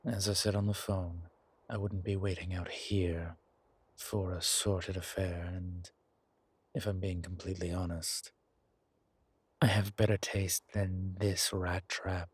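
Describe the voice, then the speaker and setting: An actor playing a suave, wry incubus demon in an intimate audio roleplay, speaking in a teasing, polished, deliberate manner with a husky, breathy voice. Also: male voice, low-pitched, level tone, no echo. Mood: teasing, dry.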